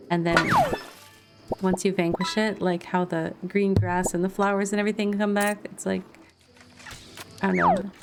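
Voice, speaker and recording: A woman chatting casually and informally as she livestreams a video game, laughing easily. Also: fairly low pitch. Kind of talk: casual livestream gameplay chat.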